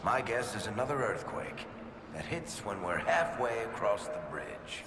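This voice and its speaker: A man's voice: lowish voice, big pitch swings, unhurried, background noise. A man playing a wry, sardonic dwarf companion who wisecracks his way through a video game adventure. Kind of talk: video game cutscene acting. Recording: loud music behind.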